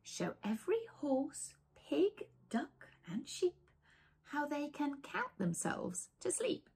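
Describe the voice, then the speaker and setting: A woman voicing a weary, patient sheepdog who gently coaxes farm animals to bed in a children's storybook. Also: high voice, wildly swooping pitch, slow pace, gravelly, voice pushed up, heavily acted. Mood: coaxing. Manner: gentle, coaxing. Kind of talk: children's storybook read-aloud.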